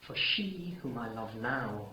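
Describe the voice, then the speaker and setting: A man's voice: mid-range voice, lively intonation, a little slow, pushed and bright. An actor playing an earnest, lovesick young man in a 1950s radio Shakespeare play, with a poetic, ardent delivery. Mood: ardent pleading. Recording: dull, noisy old radio recording.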